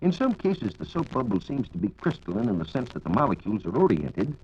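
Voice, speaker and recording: A man's voice: lowish voice, big pitch swings, fairly quick, darkish tone, breathy edge. An American man working as a science reporter on 1940s radio, giving precise, formal scripted explanations. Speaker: science reporter answering listener questions. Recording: dull lo-fi 1940s radio recording.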